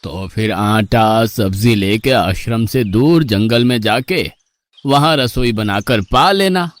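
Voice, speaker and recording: A man voicing a slow, strict old guru. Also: fairly low pitch, dry recording. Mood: admonishing. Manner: slow, admonishing, fatherly. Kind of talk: Hindi cartoon story dubbing.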